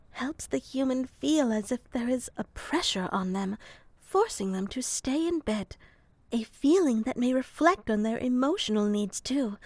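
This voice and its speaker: A woman voicing a gentle, slightly scatterbrained female moth creature in a sleep roleplay. She speaks softly and slowly into a close microphone and sometimes drops to a whisper. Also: a little high, no room sound, heavily acted. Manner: soft-spoken, slow and musing, slipping into whispers. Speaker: ASMR performer.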